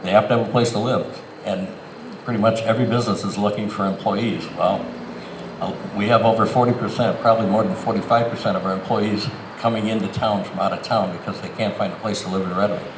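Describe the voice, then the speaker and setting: A middle-aged man with a low voice. He answers as a council candidate in a plain, halting way. The sound echoes heavily over an outdoor PA, with clear ambient noise. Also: fairly even intonation. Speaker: city council candidate and incumbent. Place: outdoors in a town park.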